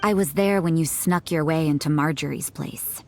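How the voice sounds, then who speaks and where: Casting a no-nonsense sentinel in a game, low voice, curt and stern, slight noise behind. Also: female voice, moderate pace, vocal fry, darkish tone. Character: stern, watchful female guard on duty. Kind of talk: English video game voice acting.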